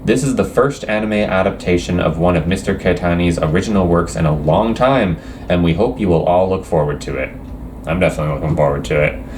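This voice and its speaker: Low-voiced man rambling casually. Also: brisk, darkish tone, slight background noise. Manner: rambling, hesitant, self-deprecating, reads quotes aloud. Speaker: channel host reacting to anime news. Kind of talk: casual reaction commentary.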